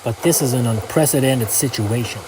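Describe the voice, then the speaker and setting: A man's voice: mid-range voice, normal speed, noisy background, lightly acted. A man voicing a polite, formal billionaire space scientist in a motion comic. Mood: courteous. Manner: courteous, formal. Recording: music under the voice.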